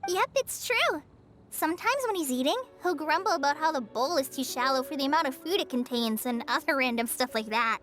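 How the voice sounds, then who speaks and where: Gentle young game heroine, extremely high voice, lively intonation, faint music. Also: female voice, a little fast. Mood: amused. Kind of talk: animated video game voice acting.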